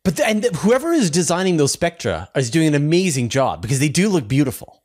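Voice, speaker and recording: A man's voice: on the high side, sing-song, quick pace, darkish tone, breathy edge. A man hosting an interview in a casual, enthusiastic conversational way. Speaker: interview host.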